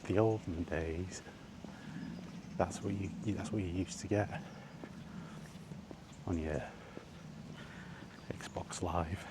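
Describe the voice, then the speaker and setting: Slow, low-voiced British man outdoors. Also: fairly even intonation. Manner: hesitant, meandering, mildly grumbling. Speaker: vlogger. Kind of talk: rambling casual monologue while out walking. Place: outdoors, walking in a park. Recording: noisy outdoor ambience.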